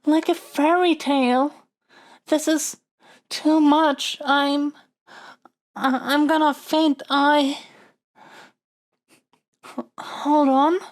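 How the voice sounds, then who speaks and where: A woman's voice: high voice, level tone, extremely slow, long pauses. A woman playing a lovestruck young princess in a romantic fantasy audio roleplay, speaking in a flustered, stammering, earnest way. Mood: awed, flustered. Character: lovestruck young princess smitten with her dragon kidnapper.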